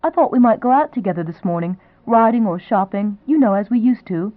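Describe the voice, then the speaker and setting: A woman's voice: mid-range voice, fairly quick, dry recording, voice pushed up, natural voice. A woman voicing a young woman who chatters eagerly and gushes. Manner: eager, gushing, lively. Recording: tinny, phone-like sound.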